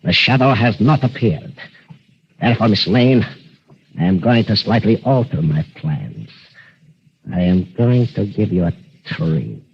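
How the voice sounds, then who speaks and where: An actor playing a vain, vengeful mad inventor in old-time radio melodrama, with mock courtesy, gloating theatrical delivery and a muffled, noisy recording. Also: male voice, lowish voice, expressive, unhurried, long pauses. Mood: boastful menace.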